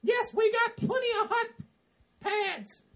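A man doing a shrill bossy hillbilly queen. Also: lively intonation, slow, fairly bright tone, full character voice. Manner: shrill, bossy, ordering people about.

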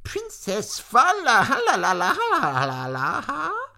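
A storyteller voicing an excitable, greedy villain who gloats theatrically and shrieks in a drawn-out way. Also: mid-range voice, voice pushed up, full character voice. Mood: gloating.

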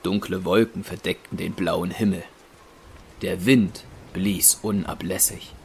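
A man narrating a dark myth in an audio drama, measured and sombre, with a creaky voice. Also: deep voice, steady tone, slight background noise. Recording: rain, thunder and effects behind voice.

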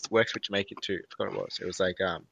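A man's voice: low-pitched, steady tone, rapid-fire, vocal fry, muffled recording. A young Australian man with an Australian accent, co-hosting a podcast in a laid-back casual chat and laughing easily. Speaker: podcast co-host. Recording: faint background hiss.

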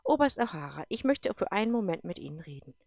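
A woman reading aloud and voicing a strict, commanding female general who talks in clipped, forceful sentences. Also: medium pitch, big pitch swings, quick pace, gravelly, dry recording, studio quality. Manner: clipped, forceful, brooks no argument. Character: stern, commanding female general, middle-aged.

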